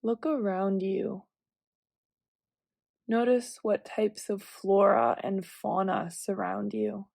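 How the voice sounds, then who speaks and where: Female meditation guide: unhurried, soft-edged mellow tone, gentle cues, very dry close sound. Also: medium pitch, creaky, no room sound. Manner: calm, gentle, measured cueing with long pauses. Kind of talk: guided visualization meditation, instructional.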